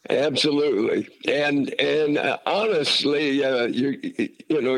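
A man's voice: medium pitch, poor audio. A man from Utah talking casually about family history, folksy and rambling with many fillers and restarts. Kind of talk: casual conversation.

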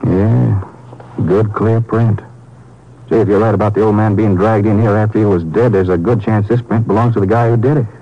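An actor playing a casual, folksy ranger partner in a 1950s radio drama. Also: male voice, fairly low pitch, fairly even intonation, a little fast. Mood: easygoing. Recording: noisy muffled old radio broadcast.

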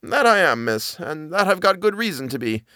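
Brief: male reader doing a jittery middle-aged housekeeper, high and halting, dry close sound. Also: sing-song, pushed and bright, no echo, full character voice. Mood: fearful. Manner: halting, stammering, plain servant speech. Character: frightened old nanny, a middle-aged housekeeper woman.

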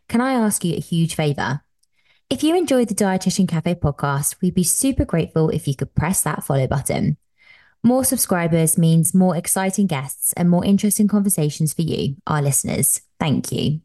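Low-voiced woman hosting with upbeat warmth. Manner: upbeat, scripted introduction, then chatty. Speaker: podcast host, dietitian. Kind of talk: podcast hosting and interviewing.

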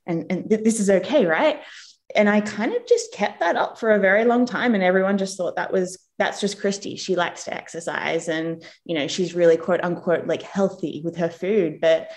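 A woman with a mixed Australian and New Zealand accent, telling her personal story as a podcast guest, earnest and animated. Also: mid-range voice, normal speed. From England, raised in Australia. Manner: earnest and animated, acts out quoted people.

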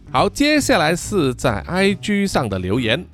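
A man's voice: on the high side, huge pitch swings, slow, fairly bright tone, soft background music. A man, middle-aged, hosting a podcast in a casual, chatty style, reading out and answering listener comments with frequent sentence-final particles. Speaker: podcast host.

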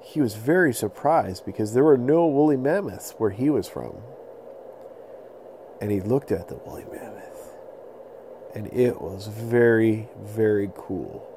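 Mellow male bedtime storyteller. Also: lowish voice, lively intonation, unhurried, a little hiss or hum. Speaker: podcast storyteller. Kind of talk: improvised bedtime storytelling for children.

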